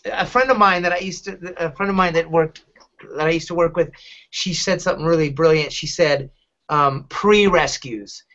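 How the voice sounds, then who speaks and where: Halting middle-aged man, dull lo-fi audio. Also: on the high side, fairly bright tone. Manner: halting, candid, rambling. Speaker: podcast host, dog advocate. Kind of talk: casual personal storytelling.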